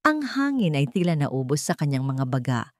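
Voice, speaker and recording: A woman narrating a scripted drama story in a measured, dramatic storytelling manner. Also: low voice, very animated, a little mellow, dry recording, clean studio sound.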